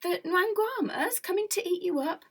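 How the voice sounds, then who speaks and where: A woman voicing a helpful, eager male peddler in a storybook tale, in a raised, lively voice. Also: very high voice, moderate pace, pitched above the performer's own voice.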